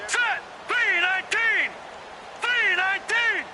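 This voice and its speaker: High, shouting football quarterback. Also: male voice, fairly quick. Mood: urgent, commanding. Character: male football quarterback shouting signals at the line. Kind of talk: shouted snap-count calls. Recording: distant voice in loud crowd noise.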